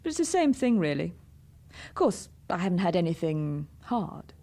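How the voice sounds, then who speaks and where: A woman's voice: lowish voice, moderate pace. A woman acting in a British radio drama as a sullen, bored young daughter, blunt and self-destructive. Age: young adult. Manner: flat-worded, blunt, sulky.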